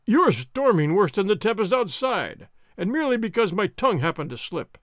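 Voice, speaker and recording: A man voicing a loud, boastful, burly soldier sergeant who bellows and swaggers. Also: high voice, very animated, moderate pace, dry recording, clean studio sound. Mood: blustering.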